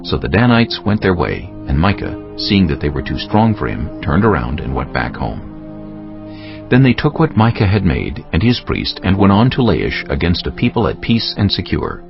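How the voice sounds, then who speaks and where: A low, gravelly man narrates scripture solemnly and deliberately, with expressive rises and falls. Music plays clearly beneath his slightly muffled voice. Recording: muffled audio with prominent music underneath.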